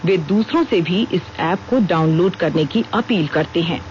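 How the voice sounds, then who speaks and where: A woman's voice: fairly low pitch, moderate pace, darkish tone. A woman with an Indian accent reading a formal scripted news bulletin as a radio news reader. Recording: dull lo-fi radio broadcast with noise.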